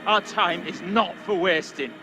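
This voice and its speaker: An actor playing a self-righteous, accusing Puritan reverend in a video game, preaching in sanctimonious, archaic oratory. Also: male voice, high-pitched, measured pace, over music. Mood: grave, accusing. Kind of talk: dramatic video game acting.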